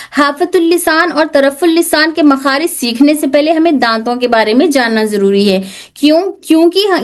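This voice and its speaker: High-voiced woman teaching a class. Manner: asks questions then answers them herself; mixes English terms into her teaching. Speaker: tajweed teacher. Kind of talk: online class lecture.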